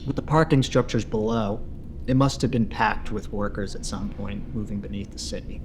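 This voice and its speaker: A man playing a lone survivor in a found-footage horror story, recounting his exploration in a halting, understated, conversational way. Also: fairly low pitch, background noise, natural voice. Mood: reflective, wary. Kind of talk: reflective found-footage confessional narration.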